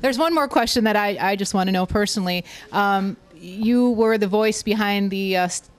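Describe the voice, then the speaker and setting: A woman's voice: medium pitch, normal speed. Friendly woman interviewer. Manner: friendly and conversational, with light hesitations. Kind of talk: casual interview.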